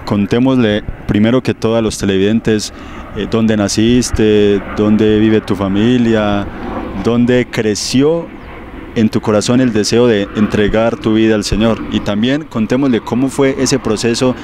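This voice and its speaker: Male program host, lowish voice, formal and guiding interviewer. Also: fairly even intonation, measured pace, noisy background. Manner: warmly formal, leading questions. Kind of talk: conversational TV interview.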